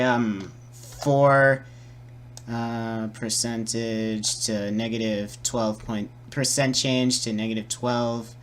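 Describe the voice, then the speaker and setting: A man presenting a casual, improvised software tutorial, muttering and repeating himself as he works. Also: medium pitch, a little hiss or hum. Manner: hesitant, muttering, repeating words while working.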